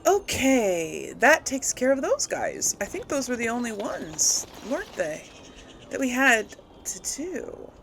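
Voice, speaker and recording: A woman giving casual let's play commentary to her audience, rambling and thinking out loud. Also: on the high side, sing-song, takes it slowly, gravelly, slight background noise. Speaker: host of a gaming role-play series.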